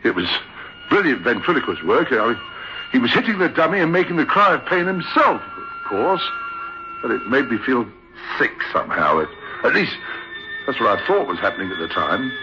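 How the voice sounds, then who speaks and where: An actor playing a troubled witness, narrating an eerie tale in a hesitant, brooding, dramatic way for a radio drama. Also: male voice, medium pitch, huge pitch swings, moderate pace, noisy background. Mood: uneasy recollection. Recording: dull old radio recording. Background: music.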